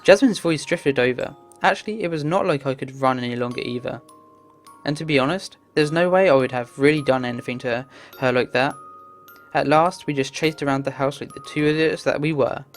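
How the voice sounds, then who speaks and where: Low-voiced woman reading a story over music. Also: fairly quick. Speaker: gameplay host reading a visual novel aloud. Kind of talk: read-aloud story narration with casual asides.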